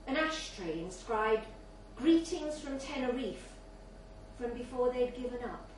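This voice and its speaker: A woman's voice: on the high side, animated, a little slow. A woman reading a literary short story aloud as scripted narration at a live event in a bar. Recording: very echoey, distant mic, faint noise.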